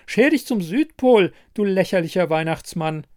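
Man doing a haughty, high-pitched ice queen. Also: animated, moderate pace, a little mellow. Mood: scornful. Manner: contemptuous, scolding. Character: haughty, scornful icy queen of winter.

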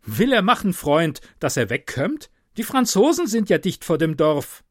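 A man with a light Palatine German accent voicing an excitable old village innkeeper, alarmed and fussing. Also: normal speed, no room sound, clean studio sound. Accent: Palatine German, softened by speech training. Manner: high, exclaiming, anxious.

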